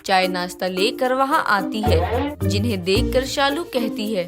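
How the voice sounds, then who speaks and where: A woman's voice: fairly high pitch, a little slow. A woman reading scripted moral-story narration as the storyteller. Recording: music behind the voice.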